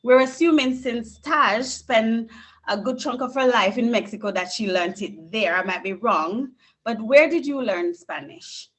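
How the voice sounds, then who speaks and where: A woman's voice: fairly high pitch, animated, unhurried, fairly bright tone. A woman, hosting a conversational interview over a video call. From Jamaica. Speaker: interviewer at a school. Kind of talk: conversational interview with prepared questions.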